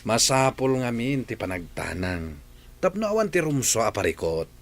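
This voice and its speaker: A man's voice: mid-range voice, very animated, moderate pace. A man playing an eager henchman. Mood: obliging. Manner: obliging to the boss, grumbling alone. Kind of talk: radio play acting.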